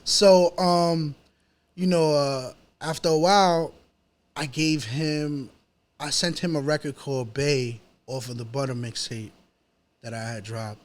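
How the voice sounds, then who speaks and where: A New York man with a voice a little on the high side, speaking as a hip-hop artist in a relaxed interview. He tells stories casually in his local accent, with lots of slang and repeated filler phrases like a habit. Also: a little slow. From New York City. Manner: slangy, repetitive, peppered with "you know" and "yo".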